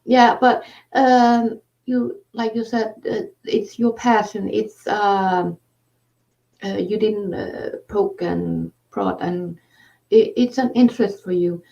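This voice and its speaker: A woman host with a Danish accent, talking in halting casual conversation with many fillers. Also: mid-range voice, gravelly, dark tone. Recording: distant, dull lo-fi sound.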